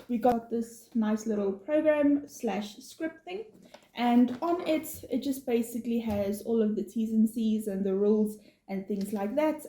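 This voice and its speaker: A woman from South Africa, not a native English speaker, co-hosting a vlog and explaining things in a steady, informative way with some fillers. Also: on the high side, slight background noise. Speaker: vlog co-host. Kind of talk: casual chat, explaining. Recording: echoey room.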